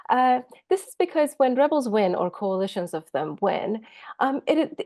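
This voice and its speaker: A woman's voice: mid-range voice, darkish tone. A woman researcher presenting on a webinar panel, speaking with a cold and many hesitant fillers over a video call. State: has a cold.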